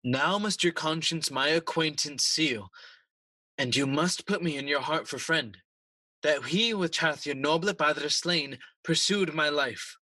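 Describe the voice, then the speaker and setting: Scheming persuasive king, slow husky voice with a sharp edge, stage play style. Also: fairly high pitch, no room sound. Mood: smooth, commanding. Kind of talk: classical stage acting for audio.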